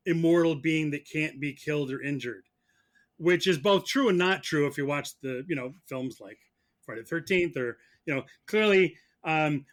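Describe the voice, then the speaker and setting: High, bright-voiced man arguing a case. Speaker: mock-trial prosecutor. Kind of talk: casual film debate.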